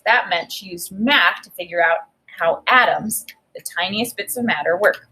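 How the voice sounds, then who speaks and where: Husky woman reading aloud to a class. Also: mid-range voice, low-quality recording. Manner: reads with expressive emphasis, pauses to think aloud. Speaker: teacher. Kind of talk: read-aloud lesson with think-aloud.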